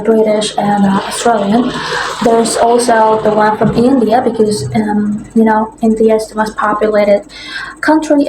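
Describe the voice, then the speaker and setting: Young female explainer, halting informal delivery, roomy echo with faint noise. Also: a little high, dark tone. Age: young adult. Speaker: language-learning content creator. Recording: echoey room with faint background noise.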